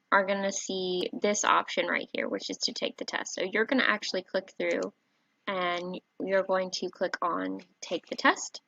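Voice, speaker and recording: A soft-spoken woman, a teacher, gently walking viewers through a website on screen, with a warm voice and frequent fillers. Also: mid-range voice, creaky, dry recording. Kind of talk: screen-recorded tutorial walkthrough. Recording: dry, slightly dull home recording.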